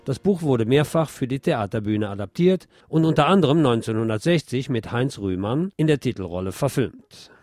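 A man's voice: mid-range voice, animated, normal speed, darkish tone. A man presenting a scripted radio feature as host. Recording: music behind the voice. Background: music.

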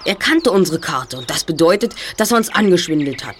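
Confident boy detective for audio drama, played by a woman: lowish voice, articulate, precise, formal. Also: lively intonation, a little fast, a little hiss or hum, natural voice. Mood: deducing. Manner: articulate, formal, self-assured. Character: confident, well-spoken boy detective, leader of a trio. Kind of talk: German audio drama acting.

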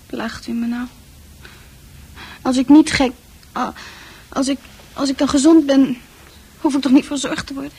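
An actress playing a fragile, haunted female psychiatric patient in a radio drama, halting and dreamy, with a breathy, often near-whispered delivery. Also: high voice, fairly even intonation, dark tone. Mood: anxious, confused. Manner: halting, poetic, lost in thought. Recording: faintly noisy old radio play recording.

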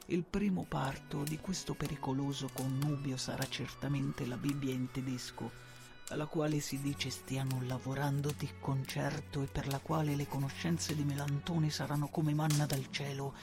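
A man playing a calculating informer who reads his secret reports to his lord in a formal, measured way, in a radio drama. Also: on the high side, vocal fry. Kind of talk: dramatized letter reading in a radio play. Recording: radio drama with music underneath. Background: music.

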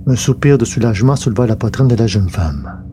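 A man's voice: medium pitch, lively intonation, brisk, noisy background. A man narrating a science fiction novel in a scripted, literary storytelling style.

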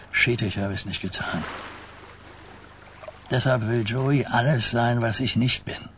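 An actor playing an aging mafia boss in a radio play, rueful, halting and confiding. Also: male voice, on the low side, a little hiss or hum, lightly acted. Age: older.

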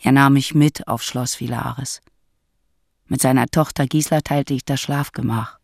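An actor playing a reflective narrator recalling a medieval past in a German radio drama, measured and literary. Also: male voice, mid-range voice, steady tone, vocal fry, dry recording, studio quality. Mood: wistful.

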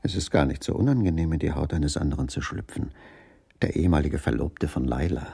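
A deep-voiced jealous husband broods in a radio crime drama. His voice is low with a gravelly creak, and he ponders bitterly, as if thinking aloud. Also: fairly quick, dark tone, full character voice. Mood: pondering, suspicious. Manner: bitter, pondering inner monologue. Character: brooding, jealous betrayed husband, a dining-car waiter.

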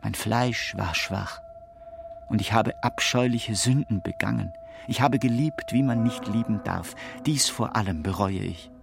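A man's voice: lowish voice, a little slow, gravelly. An actor playing a despairing, confused king who pleads with God in a theatrical radio play monologue. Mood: remorseful, confessing. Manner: theatrical, swinging between whispers and outcries. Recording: music behind the voice. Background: music.

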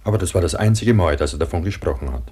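A haunted survivor narrating a radio drama. His voice is extremely deep and husky. He tells his ordeal gravely and broodingly, in short, halting phrases. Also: expressive, warm tone. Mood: grave, subdued.

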